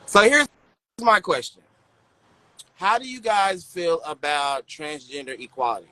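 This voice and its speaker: Guy with a bright sharp voice rambling on a podcast, keeps hunting for words. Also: on the high side, sing-song, a little slow, dry recording, poor audio. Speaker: podcast co-host. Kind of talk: casual chat.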